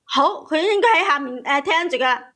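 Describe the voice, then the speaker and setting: Female caller, very high voice, indignant and worked up, emotional storytelling on a talk show. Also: expressive, brisk, a bit bright. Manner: agitated, indignant, repeats words for emphasis. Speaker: caller telling a family grievance.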